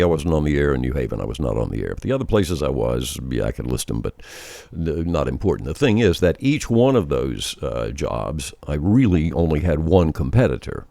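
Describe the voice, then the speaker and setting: Older male, extremely low voice, mellow tone, folksy radio veteran, informal storytelling lecture. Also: creaky, dry recording. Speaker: veteran radio programmer and former disc jockey presenting a series. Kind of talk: informal instructional monologue.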